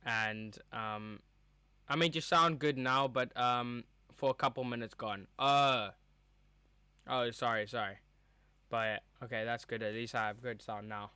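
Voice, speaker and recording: Bright, crisp young man streaming a match. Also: mid-range voice, fairly even intonation, unhurried, dry recording. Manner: rambling, chatty, reacts to play as it happens. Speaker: streamer hosting a match watchalong. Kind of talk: casual live match commentary. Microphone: streaming mic with a cover on top.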